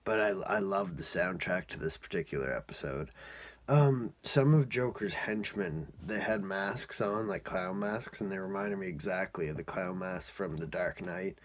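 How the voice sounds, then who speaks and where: A man's voice: sits low, normal speed. A man casually reviewing and recapping a cartoon episode in a rambling, informal, amused way.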